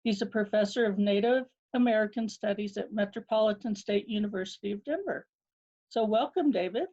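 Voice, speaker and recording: A woman's voice: medium pitch, fairly quick, dull audio. A woman introducing a guest speaker, reading his credits and apologizing for her pronunciation. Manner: warm, apologetic about pronunciation. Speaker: program director introducing the speaker.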